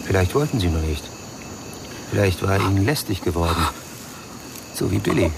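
An actor playing a guarded, bitter lover in a German radio crime drama, speaking bluntly and wearily. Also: male voice, low-pitched, lively intonation, normal speed, vocal fry, background noise. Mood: probing. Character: Mark, guarded, bitter married lover, coolly accusing.

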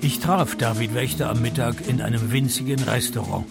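A man playing a dry, sardonic private detective in a radio play, deadpan and laconic. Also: medium pitch, normal speed, gravelly, loud music behind the voice, natural voice. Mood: deadpan narrating. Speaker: lead actor and first-person narrator of a radio play. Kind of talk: radio drama dialogue and scripted first-person narration.

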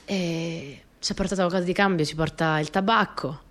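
A woman's voice: fairly low pitch, steady tone, normal speed, natural voice. An actress playing an earnest, dreamy young Italian partisan courier who recalls her wartime girlhood in an intimate, simply told radio monologue. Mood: fond, lively. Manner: simple, conversational, reminiscent storytelling with short phrases. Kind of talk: radio theatre monologue, intimate first-person storytelling.

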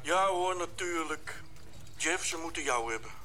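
An actor playing an eager, polite spaceship radio operator in a radio play. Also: male voice, a little high, animated, measured pace, pushed and bright. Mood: polite, patient.

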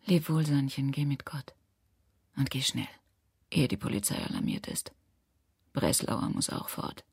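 An actress playing a grief-stricken, resigned and tender woman in an intimate radio drama, with a husky, breathy voice. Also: very deep voice, unhurried, no echo, clean studio sound, heavily acted. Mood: resigned farewell.

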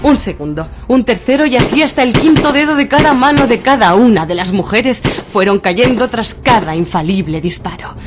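A woman narrating a radio drama in a dramatic, literary and deliberate style. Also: a little high, lively intonation. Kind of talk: theatrical scripted narration of absurdist radio fiction. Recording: music bed under the voice.

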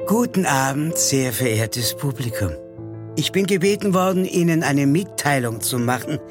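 An actor playing a formal, forgetful master of ceremonies in an absurdist radio play, ceremonious and halting. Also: male voice, on the high side, animated, music in the background, natural voice. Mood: formal, flustered.

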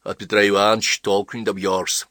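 A man voicing an old village priest and holy fool, folksy, gruff and affectionate, in an audiobook story. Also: fairly low pitch, flat delivery, quick pace, pushed and bright, dry recording, studio quality. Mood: homely.

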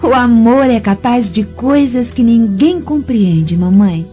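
A woman's voice: on the high side, animated, takes it slowly, warm tone. An actress playing a sly, mocking young Roman noblewoman with a knowing, insinuating manner in a radio drama. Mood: mocking, amused. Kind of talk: formal radio drama acting. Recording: muffled recording with music underneath.

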